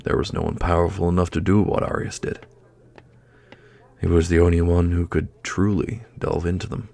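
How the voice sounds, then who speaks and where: A man playing a solemn, formal guardian of mystical obelisks in a fantasy audio roleplay, with a gravelly, breathy, measured voice. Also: sits low, little pitch movement, a little mellow. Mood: somber.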